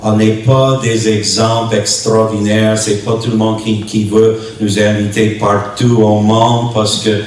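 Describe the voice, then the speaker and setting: A man from New Brunswick, a native English speaker with an English-speaking Canadian accent, preaches as a visiting pastor in a halting way, in short phrases, searching for words. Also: on the low side, level tone. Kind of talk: sermon. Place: church hall during a worship service. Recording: echoey hall, distant mic, faint noise.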